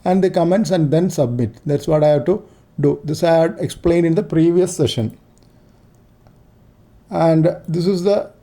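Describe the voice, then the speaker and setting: Male tutorial narrator, slightly high pitch, soft mellow tone, halting unscripted delivery in short explanatory phrases. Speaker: amateur radio operator giving a software demo.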